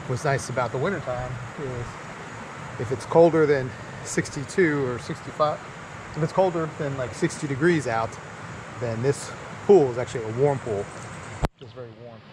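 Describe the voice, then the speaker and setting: A man's voice: medium pitch, normal speed. Laid-back man vlogging in noisy outdoors. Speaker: vlog host. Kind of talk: casual camping vlog. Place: outdoors at a state park and campsite. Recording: noisy outdoor recording with insects.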